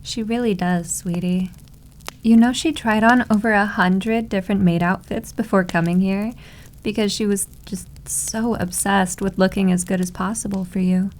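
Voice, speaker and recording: A woman's voice: mid-range voice, steady tone, slight background noise, close to an everyday voice. A woman playing a doting, possessive yandere maid girlfriend in an ASMR roleplay, with a sugary, cooing way of teasing. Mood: sly, doting. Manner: cooing, sugary, drawn-out endearments. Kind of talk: slow ASMR roleplay acting.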